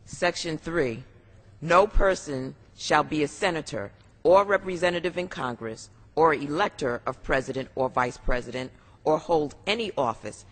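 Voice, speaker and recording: A man with a fairly high voice reads a legal document in a formal manner. The large chamber gives his voice a long reverb. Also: a bit bright. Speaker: member of Congress. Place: large indoor legislative chamber.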